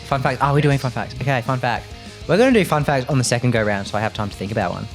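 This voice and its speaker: Dry Australian man, mid-pitched. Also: animated, very fast, soft background music. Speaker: tabletop podcast player. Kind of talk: casual group banter.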